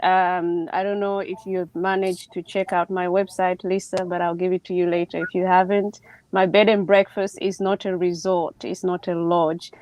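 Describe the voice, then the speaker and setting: A woman's voice: fairly low pitch, flat delivery, normal speed, a little mellow, no room sound, rough recording. A woman in her forties from Tanzania, a native Swahili speaker, telling her own story casually on a video call. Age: 40s. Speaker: guest speaker, teacher.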